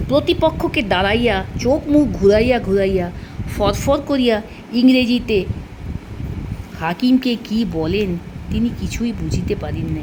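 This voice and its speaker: A woman reading a literary short story aloud in an expressive storytelling style. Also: mid-range voice, background noise.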